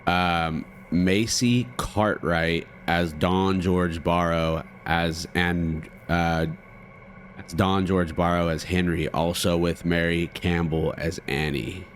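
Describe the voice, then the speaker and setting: A low-voiced American man gives informal commentary on a game. He is slangy and thinks aloud, with faint noise and game ambience behind him. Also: fairly even intonation, takes it slowly. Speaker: gaming streamer.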